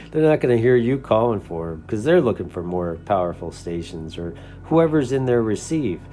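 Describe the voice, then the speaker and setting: A man's voice: lowish voice, big pitch swings, normal speed, dark tone. An American man talking casually and off the cuff as a hobbyist host, explaining things in an earnest, conversational way. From United States. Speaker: CB radio hobbyist and video host. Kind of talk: casual unscripted talk.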